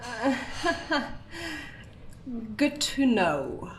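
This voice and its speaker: A woman playing a hesitant, polite newcomer in an audio drama, speaking haltingly. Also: on the high side, very animated, echoey room, slight background noise, heavily acted. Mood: awkward, tentative. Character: hesitant, polite woman newly joining a prison knitting circle.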